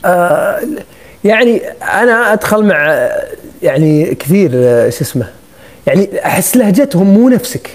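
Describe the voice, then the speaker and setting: A man's voice: sits high, very animated, normal speed, pauses often. A man hosting a casual live stream on his phone, teasing and joking with his guests. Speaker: livestream host.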